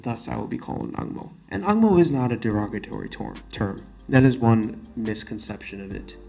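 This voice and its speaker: A man's voice: mid-range voice, very animated, fairly quick, gravelly, a little mellow, faint music behind. A young man in his late teens from Singapore with a non-Singaporean, American-sounding accent, hosting a solo student podcast in an earnest, emphatic way, often repeating key phrases. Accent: American-sounding, not Singaporean.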